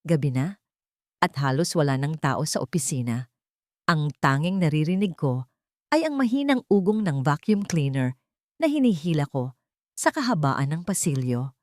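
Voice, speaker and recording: Casting: female audio drama narrator, deep register, close dry sound, emotive scripted first-person storytelling. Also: a little mellow, no echo.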